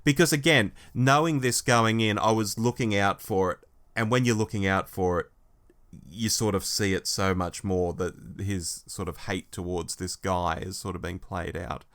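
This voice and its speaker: A man's voice: deep voice, unhurried, a bit bright. A man co-hosting a podcast, discussing a review in a conversational, slightly wry way. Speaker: podcast co-host.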